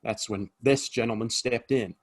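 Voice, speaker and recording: A man's voice: medium pitch, moderate pace, lightly acted. Male actor, decent saloonkeeper in a Western audio play: mid-range voice, apologetic, hesitant, sincere. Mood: earnest protest. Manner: hesitant, earnest, stammering. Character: decent, apologetic saloon bartender in Old West. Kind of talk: Western audio drama acting.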